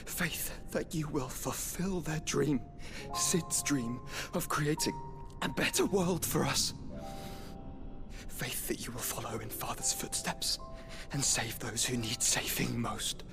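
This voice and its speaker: A man playing a gentle, dying younger brother in a game cutscene, halting and earnest, with a weak breathy voice that often drops to a whisper. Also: mid-range voice, music in the background. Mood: earnest, fading. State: weak, strained, fading. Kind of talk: scripted game cutscene acting.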